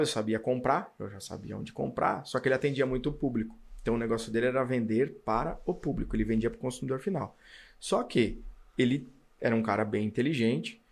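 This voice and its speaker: A man, a podcast guest telling his career story in an animated way. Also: mid-range voice, creaky. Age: 40s. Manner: animated storytelling, acts out past dialogue. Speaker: podcast guest, car dealer. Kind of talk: casual storytelling interview.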